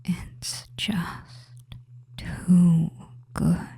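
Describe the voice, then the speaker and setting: A performer playing a soothing, commanding witch hypnotist in a breathy whisper, coaxing in short drawn-out phrases with long pauses. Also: medium pitch, fairly even intonation, mellow. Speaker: audio roleplay performer. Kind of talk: whispered hypnosis audio roleplay, slow guided trance.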